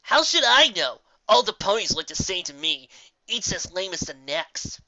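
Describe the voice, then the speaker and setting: A woman's voice: mid-range voice, sing-song, normal speed, pushed and bright, no echo, muffled recording, voice pushed up. A woman voicing a lazy, mean-spirited female griffin prankster in a storybook, boastful and scornful.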